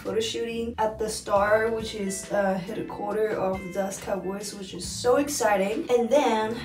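A woman's voice: medium pitch, fairly even intonation, normal speed. Young woman from Japan, native Japanese speaker, casual vlog talk, "like" fillers, self-corrections, phone at arm's length, music playing behind. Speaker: vlogger and pro dancer. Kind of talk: casual vlog chat to camera. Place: bathroom, then parked car.

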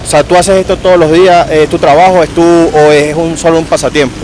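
A man's voice: fairly high pitch, flat delivery, a little fast, darkish tone, slight background noise, poor audio. Young man asking casual street interview questions. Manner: friendly, informal questions. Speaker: vlogger interviewing street performers. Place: city park outdoors. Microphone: handheld clip mic in a selfie shot.

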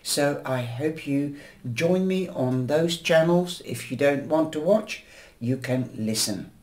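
A woman giving a weekly tarot horoscope reading in a gentle, chatty, rambling way, her voice a little nasal from a cold. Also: deep voice, animated, slow pace. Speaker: tarot reader. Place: indoors at a table, camera over the cards.

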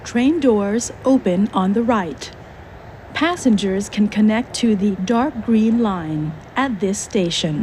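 A woman gives recorded announcements of stations and transfer lines. She reads slowly and evenly, with a faint wash of background noise. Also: mid-range voice, expressive. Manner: slow, evenly spaced announcements in two languages. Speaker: train announcer.

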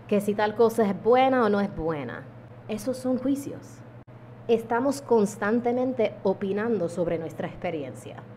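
A woman, calmly teaching a mindfulness lesson to camera, with deliberate pauses. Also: medium pitch, a little hiss or hum. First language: English. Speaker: yoga teacher. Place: indoor room at home. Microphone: small handheld lavalier mic held close.

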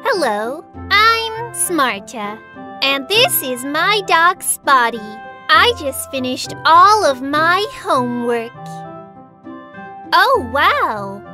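A woman's voice: high voice, big pitch swings, drawn-out words, pushed and bright, full character voice. A woman voicing a cheerful, caring little girl in a children's cartoon, speaking simply and expressively to young viewers. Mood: friendly. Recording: music behind the voice.

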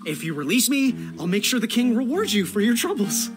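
A man voicing a scrawny, earnest young would-be knight, polite and nervous, in an animated fantasy game. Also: very high-pitched, brisk. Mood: pleading. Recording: music behind the voice.

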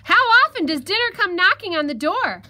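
A woman voicing a hungry, greedy male fox in a children's story, gruff and easily exasperated, given to growls and shouts. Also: fairly high pitch, very animated, normal speed, exaggerated voice. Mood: triumphant, gloating.

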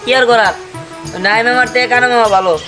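A man acts out a silly, greedy village boy for a low-budget comedy skit. He whines and pleads with exaggerated relish, over loud music. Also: fairly even intonation, quick pace. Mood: greedy, musing. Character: greedy, silly village boy who loves eating iron.

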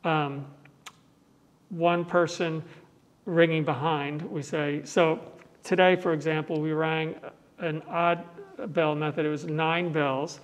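A middle-aged man with an American accent and a fairly high voice that stays very even. He explains things patiently, in measured steps, in a conversational interview style. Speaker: bell ringer giving a tour. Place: large indoor tower room. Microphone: clip-on mic on the chest.

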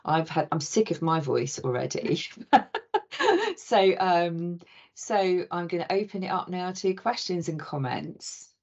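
A middle-aged woman hosts an online talk, her voice on the low side. She speaks effusively and rambles, often restarting her sentences. The call audio sounds dull and lo-fi. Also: unhurried, gravelly, darkish tone. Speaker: host and interviewer. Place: indoor room with bookshelves.

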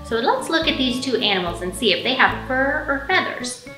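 A woman in her thirties leading a storytime for young children, speaking in simple, expressive phrases with plenty of questions. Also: high voice, normal speed. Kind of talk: storytime teaching, singing and picture-book reading for young children. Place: indoor library storytime room. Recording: roomy echo, faint music.

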